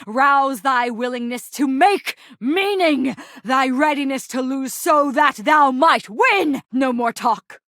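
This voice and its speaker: A woman's voice: high voice, slow, hardly any pauses, fairly bright tone, no echo, clean studio sound, heavily acted. A woman playing a proud, battle-obsessed warrior trainer in a video game, declaiming in pompous, archaic, theatrical speech. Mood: rousing, fervent.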